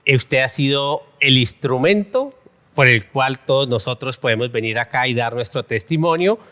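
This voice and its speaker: A man's voice: medium pitch, lively intonation, moderate pace, a bit bright. A man hosting a studio interview show, welcoming viewers and introducing his guest in an upbeat, formal way. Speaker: interview host.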